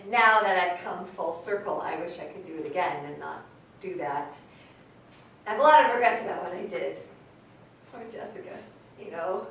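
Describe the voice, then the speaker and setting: A woman's voice: fairly low pitch, animated, normal speed, gravelly, pauses often, a bit bright. A woman playing a chatty, candid mother in her sixties, telling her story conversationally in a staged reading. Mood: candid, regretful. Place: live staged reading in a large room. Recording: echoey, noisy hall from far off.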